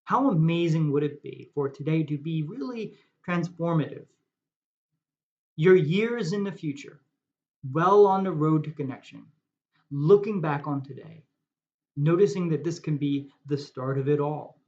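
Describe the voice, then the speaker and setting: A man guiding a meditation in a calm, measured way, asking reflective questions. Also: on the high side. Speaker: meditation guide. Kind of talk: guided meditation. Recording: dull lo-fi recording.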